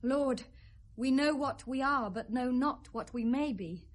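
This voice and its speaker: A young noblewoman driven mad by grief in classic radio Shakespeare. Her voice is extremely high and she takes her time, drifting distractedly between verse and sung fragments. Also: unhurried. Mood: distracted, polite. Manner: wandering between speech and snatches of song. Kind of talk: 1970s radio Shakespeare acting, half sung.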